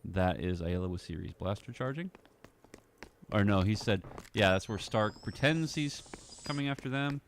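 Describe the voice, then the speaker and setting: A man's voice: fairly low pitch, animated, moderate pace, a little hiss or hum. A man giving a casual walkthrough of his audio drama editing, thinking aloud in fragments. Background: film score music.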